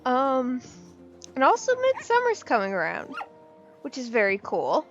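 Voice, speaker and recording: A woman with a fairly high voice chats excitably through a game update, rambling with plenty of fillers. Her voice sounds a little off and worn from the weather. The recording is dull and lo-fi, with faint music underneath. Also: unhurried. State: voice slightly off from weather. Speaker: game video creator. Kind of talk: casual gameplay commentary.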